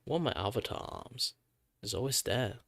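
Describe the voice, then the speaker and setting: A man's voice: mid-range voice, animated, normal speed, creaky, fairly bright tone, dry recording, studio quality. A man from Malaysia with a Malaysian accent, Mandarin his first language, chatting casually and rambling with many fillers as a streamer. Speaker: VTuber streamer. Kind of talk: casual chat while drawing on stream.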